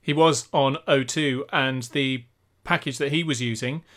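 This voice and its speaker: A man's voice: medium pitch, steady tone, unhurried, fairly bright tone. A man in his forties with a British accent, explaining things in a casual tech discussion on a studio mic. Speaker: podcast co-host. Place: home office, video call. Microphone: studio condenser mic.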